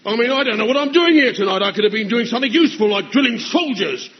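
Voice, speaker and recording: A man's voice: extremely high pitch, lively intonation, fast talker, lo-fi sound. An actor playing a brash, mocking army drill sergeant who barks orders and laughs at his own jokes. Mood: jeering, bossy. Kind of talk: sitcom acting.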